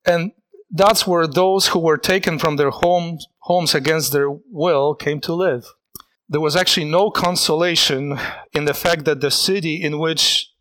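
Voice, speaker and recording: Man, preacher, sermon, earnest, reflective, halting, slightly high voice. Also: fairly bright tone, low-quality recording. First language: Polish.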